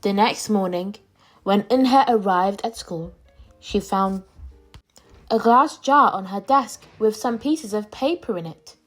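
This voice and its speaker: A woman reading a children's storybook aloud as a storyteller, with expressive, clearly phrased narration. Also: mid-range voice, measured pace, soft background music, poor audio.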